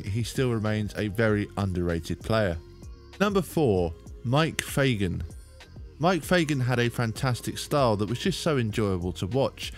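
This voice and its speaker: A man hosting a sports video, giving a measured, explanatory scripted narration into a close microphone. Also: mid-range voice. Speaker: host of a bowling video channel. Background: background music.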